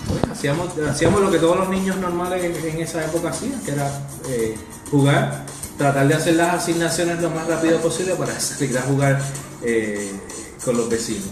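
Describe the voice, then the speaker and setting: A man's voice: fairly high pitch, fairly quick. Hesitant Puerto Rican man in his forties. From Puerto Rico. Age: 40s. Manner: reminiscing with frequent "eh" hesitations. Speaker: actor guest. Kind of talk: interview answers. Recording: roomy echo with music behind.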